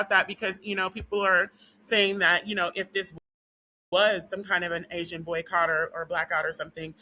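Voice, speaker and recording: A woman vlogger talking casually to her viewers in a rambling, profane rant full of "um"s, her voice dry and hoarse as it gives out. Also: medium pitch, sharp tone, rough recording. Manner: rambling and profane, with frequent "um" and "what do you call it".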